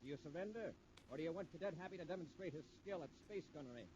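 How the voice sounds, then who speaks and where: An actor playing a bold, commanding space patrol commander in a 1950s radio serial, with clipped authority, on a noisy muffled old recording. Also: male voice, a little high, lively intonation, very fast, close to an everyday voice. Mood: stern, forceful.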